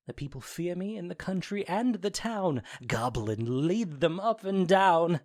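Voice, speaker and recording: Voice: mid-range voice, very animated, measured pace, darkish tone. An actor playing a mischievous goblin sprite in an audio drama, theatrical and playful in delivery. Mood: gleeful. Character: Puck, mischievous goblin sprite serving the fairy lord. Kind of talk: audio drama acting of modernized Shakespeare.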